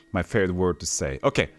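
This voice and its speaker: A man from Texas with a lowish voice and no regional accent, streaming an old role-playing game. He talks casually to his viewers, reads the game's text aloud and jumps between the game and the chat. Faint game music plays underneath. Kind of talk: casual gameplay commentary.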